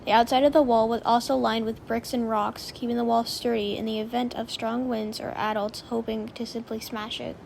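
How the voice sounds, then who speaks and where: A woman's voice: a little high, vocal fry, a little mellow, a little hiss or hum. A woman narrating a story from a script, as in an audiobook of fan fiction.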